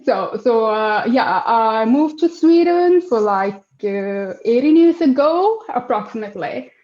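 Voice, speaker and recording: Woman in her twenties, from Afghanistan, mellow tone, hesitant with fillers, dull echoey room. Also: fairly high pitch, expressive, a little slow. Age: 20s. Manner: hesitant, many fillers and restarts. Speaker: project manager, interview guest. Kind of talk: casual interview answers.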